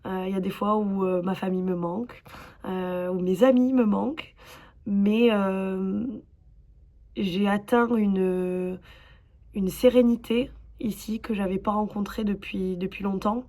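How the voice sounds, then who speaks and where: A woman's voice: mid-range voice, fairly even intonation, unhurried, dark tone. A woman from southwest France talking candidly and informally in a casual personal vlog. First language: French. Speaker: vlogger.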